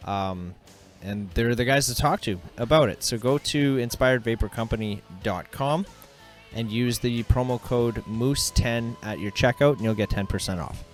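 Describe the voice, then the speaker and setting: A man's voice: medium pitch, normal speed, soft background music. A Canadian man hosting a podcast, chatting casually in a laid-back, slangy way. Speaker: podcast host. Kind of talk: casual chat.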